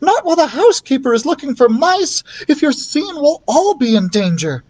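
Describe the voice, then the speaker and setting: A man voicing an anxious, protective mother mouse in an alarmed, shrill way for a storybook reading. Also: sing-song, normal speed, a little mellow, no echo.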